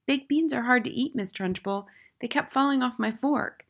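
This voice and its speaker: A woman reading a storybook, voicing a brave, bright little schoolboy who answers back politely. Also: fairly high pitch, normal speed, gravelly, pitched above the performer's own voice. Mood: innocent explaining.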